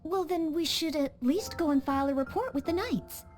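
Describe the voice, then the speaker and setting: A woman's voice: high-pitched, expressive, moderate pace, over music. A woman voicing a polite, earnest young maid knight in a game, courteous and eager to serve.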